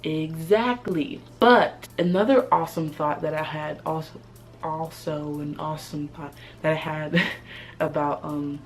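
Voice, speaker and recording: Low-voiced, chatty American woman. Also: fairly even intonation, a little slow, slight background noise. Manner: slangy and chatty, lots of "like". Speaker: language-learning vlogger. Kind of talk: casual vlog chat.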